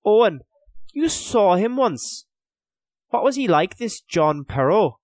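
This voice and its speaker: A man's voice: sits high, sing-song, slow, darkish tone, no echo, pitched above the performer's own voice, exaggerated voice. A man voicing a guilt-ridden, hot-tempered young Irish chieftain's son held captive, earnest and pleading in an audiobook story. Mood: anxious, curious.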